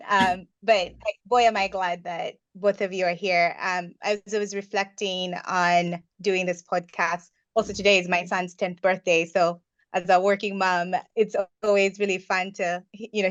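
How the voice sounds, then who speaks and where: A woman's voice: lowish voice, flat delivery, moderate pace, a bit bright, dry recording. A woman, a podcast guest telling her life story in an earnest, reflective way. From Uganda. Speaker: guest, investment partner. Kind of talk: personal storytelling in a podcast interview. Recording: slightly muffled dry recording.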